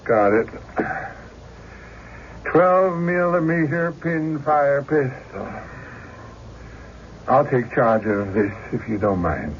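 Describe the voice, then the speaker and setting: An actor playing a calm, dutiful frontier deputy in an old-time radio drama, terse and official. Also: male voice, medium pitch, takes it slowly, long pauses. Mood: grave, official. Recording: dull, lightly noisy radio recording.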